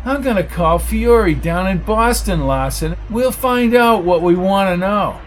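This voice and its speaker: Man doing a brash, raised-pitch tough guy. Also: expressive, unhurried, a little hiss or hum. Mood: scornful. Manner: blunt, slangy, snapping. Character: brash, hot-tempered, bullying tough guy.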